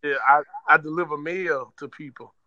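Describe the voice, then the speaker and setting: American man, casual slangy talker, dry close sound, very bright tone. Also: a little high, normal speed, dry recording, highs cut off. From United States. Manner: relaxed, slangy, stammers at starts. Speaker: mailman. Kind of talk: casual conversation.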